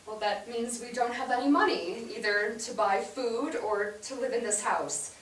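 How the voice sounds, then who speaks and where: A woman on stage playing a weary mother who gently but firmly explains hard news to her sons. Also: fairly high pitch, moderate pace, natural voice. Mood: gentle, explaining. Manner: gentle but firm, matter-of-fact maternal explaining. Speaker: stage actor. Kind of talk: staged reading of a period family drama. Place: indoor theatre stage, recorded from afar. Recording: roomy theatre, distant mic, hissy background.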